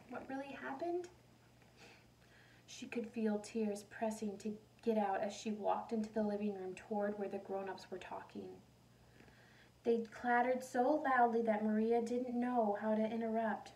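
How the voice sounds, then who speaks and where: A woman reading a storybook aloud to children in an expressive, animated way. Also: on the high side, normal speed. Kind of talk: expressive storybook read-aloud with questions to listeners. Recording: roomy echo, distant mic.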